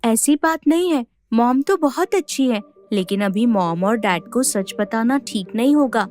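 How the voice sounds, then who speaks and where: A woman's voice: fairly high pitch, expressive, a little slow, a little mellow. A woman playing a clever, polite and guarded little boy in a Hindi audio drama. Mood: earnest, insistent.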